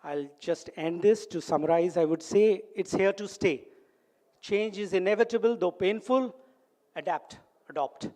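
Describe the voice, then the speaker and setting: A man's voice: sits high, unhurried, a little mellow. A man with an Indian English accent giving an earnest, instructive talk at a medical conference. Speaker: conference speaker. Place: conference hall.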